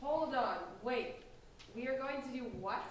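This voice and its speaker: A woman acts a snobbish teenage girl with a slightly high voice. She is sarcastic, bored and dismissive in a staged reading. It is heard from a distance in a very echoey room. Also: unhurried, a little hiss or hum. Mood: skeptical.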